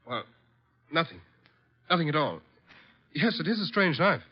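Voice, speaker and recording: An actor playing an anxious, flustered gentleman client, speaking haltingly and earnestly in 1950s radio drama style. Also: fairly high pitch, big pitch swings. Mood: uneasy, evasive. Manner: halting, urgent. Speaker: actor in a detective radio series. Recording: dull vintage 1950s radio recording.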